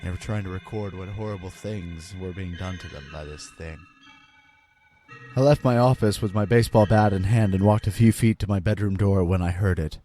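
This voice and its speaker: A man's voice: low-pitched, natural voice. A man playing a frightened husband and father, recounting a haunting in grave, dramatic first-person horror narration. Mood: grim dread. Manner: grave, dramatic, deliberate phrasing. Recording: loud music under voice.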